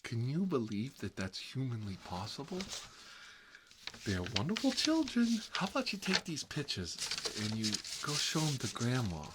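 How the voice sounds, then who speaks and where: A man's voice: mid-range voice, wildly swooping pitch, normal speed, noisy background. A man playing a put-upon, self-deprecating middle-aged uncle in a rambling, folksy ASMR comedy role-play. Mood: wry, rambling.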